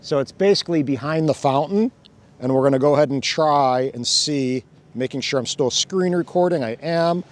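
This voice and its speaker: A man's voice: a little high, normal speed. A man hosting a casual hands-on demo outdoors by a fountain, thinking aloud and laughing often as he goes. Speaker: drone channel host. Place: outdoors by a fountain, cold weather.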